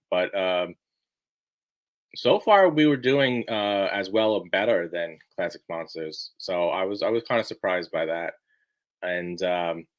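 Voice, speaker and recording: A man being interviewed, chatting casually in a halting, rambling way and often restarting his sentences. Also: low-pitched, a bit bright, poor audio. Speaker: book editor being interviewed.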